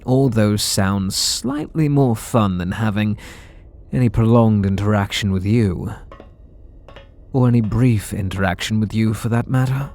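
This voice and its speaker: A man's voice: lowish voice, measured pace, a little mellow. A man voicing a bitter, sarcastic supervillain in an ASMR roleplay audio drama, talking in a sardonic, mocking way. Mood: sneering. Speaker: voice actor.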